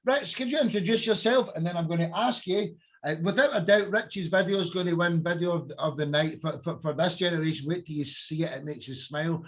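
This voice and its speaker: Upbeat high-voiced male podcast host. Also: a little fast, few pauses. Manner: enthusiastic, matey, calls people bro and dude. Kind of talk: casual podcast hosting.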